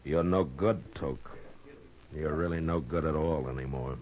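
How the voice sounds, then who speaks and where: A grave frontier marshal in an old radio western. His voice is extremely low, measured and weary, with few words wasted. The sound is dull and faintly noisy. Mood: stern. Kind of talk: 1950s radio western acting. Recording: muffled, noisy 1950s radio recording.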